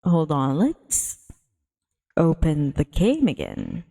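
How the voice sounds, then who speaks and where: A woman's voice: deep voice, wildly swooping pitch, slow, creaky, mellow. A woman livestreamer chatting casually as she sets up a game, giggly and given to exclamations. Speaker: livestreamer host. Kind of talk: casual livestream chat while setting up and playing a visual novel. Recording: music playing behind the voice. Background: background music.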